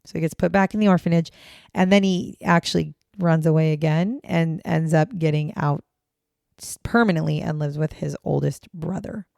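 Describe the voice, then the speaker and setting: Woman, hoarse raspy voice, gravelly, dark tone, casual podcast chat. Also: deep voice, normal speed, no echo, clean studio sound. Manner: chatty, blunt, casual swearing. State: hoarse, raspy voice after choking on water. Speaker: podcast co-host.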